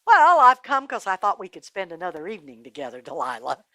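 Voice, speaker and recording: A woman's voice: mid-range voice, wildly swooping pitch, fast talker, sharp tone, no echo. Woman acting out a gullible, cajoling strongman. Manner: cajoling, stammering, offhand. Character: gullible, boastful strongman, exasperated lover in Bible tale. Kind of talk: oral Bible storytelling for children.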